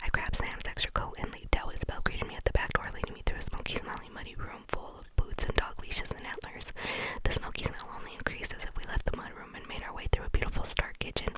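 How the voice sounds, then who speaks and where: A reader narrating a first-person novel in a soft whisper. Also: poor audio. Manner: soft whisper, quick reading. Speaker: audiobook reader.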